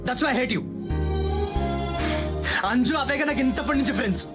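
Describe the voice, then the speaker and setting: A man's voice: very high-pitched, moderate pace. A man in his twenties playing an intense, heartbroken young lover in an emotional film. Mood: hurt, accusing. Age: 20s. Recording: film music under the voice.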